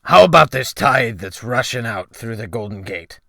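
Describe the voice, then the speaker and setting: Man acting loud, blustering old seaman. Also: medium pitch, lively intonation, moderate pace, vocal fry, dry recording, studio quality. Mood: scornful, bellowing. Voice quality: loud, bellowing. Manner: snorting, salty colloquial exclamations. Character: gruff, excitable red-faced old seaman, scornful and blustering. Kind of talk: dramatic audiobook character acting.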